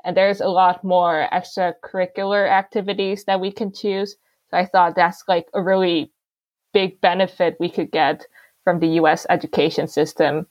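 A woman's voice: on the low side, flat delivery, normal speed, a little mellow, muffled recording. Young woman from Korea, casual. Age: young adult. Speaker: guest, college student. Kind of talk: recorded guest statement.

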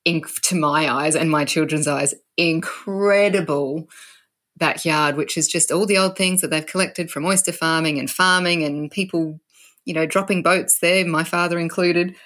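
Low-voiced Australian woman, chatty host. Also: steady tone, moderate pace, fairly bright tone. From South Australia. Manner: chatty, laughs easily, self-correcting. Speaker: podcast host; educator.

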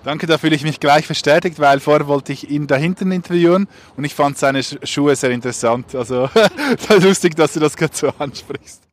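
A man conducting spontaneous street interviews, asking questions in a halting, informal way. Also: on the high side. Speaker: podcast interviewer. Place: outdoors on an urban street. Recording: street noise, traffic around.

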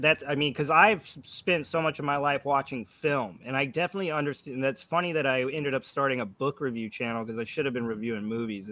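A man with a medium-pitched voice hosts a relaxed, informal conversation. He swears freely as he talks and readily pokes fun at himself. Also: no echo. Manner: profane, laughs easily; self-deprecating. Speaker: book review channel host and aspiring writer. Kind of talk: casual chat.

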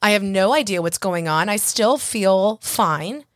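A young woman hosting a solo podcast, chatty and self-mocking, her voice husky and a little hoarse from congestion. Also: mid-range voice, expressive, measured pace, no echo, studio quality. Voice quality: husky, raspy. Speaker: podcast host.

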